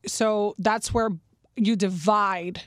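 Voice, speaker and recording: Earnest twenties woman, mid-pitched, dry studio mic. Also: fairly even intonation, slow, no room sound. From Miami. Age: 20s. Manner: earnest, many fillers, repeats words. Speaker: nonprofit founder, guest. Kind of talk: radio interview answers. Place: radio studio.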